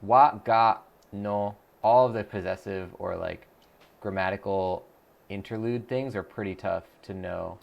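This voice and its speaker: A man with a low voice and a gravelly, crackly edge talks through a live stream in a loose, rambling way. He thinks out loud, trails off and restarts sentences. He reads viewer comments aloud and answers them as he goes. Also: measured pace, dull audio. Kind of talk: casual live-stream chat while practicing a language app.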